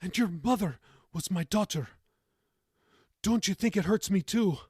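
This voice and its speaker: A man's voice: sits high, expressive, unhurried, darkish tone, husky, no echo, clean studio sound, pitched above the performer's own voice, full character voice. A man voicing an anguished grandmother, pleading and exasperated, in audiobook dialogue. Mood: distraught. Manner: emotional, pleading.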